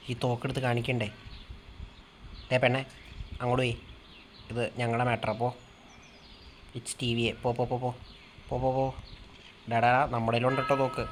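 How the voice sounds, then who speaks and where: A man bantering casually as he live-streams a role-play game, teasing and confrontational, often repeating words in quick bursts. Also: medium pitch, a little hiss or hum.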